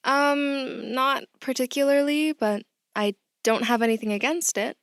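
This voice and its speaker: A high, young female voice, recorded dry and close: an earnest new recruit out of her depth. She talks casually and plainly, as in a naturalistic audio drama. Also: steady tone, a little slow, fairly bright tone, no echo. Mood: polite, eager. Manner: questioning, casual, plain-spoken.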